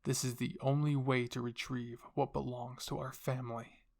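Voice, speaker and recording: A man voicing an older royal father in an anime roleplay drama, calm, commanding and measured, with an authoritative explaining manner. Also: medium pitch, creaky, lightly acted. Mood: firm, solemn.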